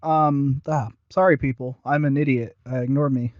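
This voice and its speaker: A man co-hosting a podcast in casual chat, halting and self-deprecating, often losing his thread as he reviews. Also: medium pitch, steady tone, no echo, dull audio. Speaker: podcast co-host. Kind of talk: casual chat, reviewing audio roleplay series.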